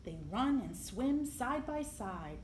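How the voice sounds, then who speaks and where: A woman reading a picture book aloud to young children in an expressive, deliberate storytelling manner, with emphasis on the sound words. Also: on the high side. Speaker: library storyteller. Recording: echoey room, clear background noise.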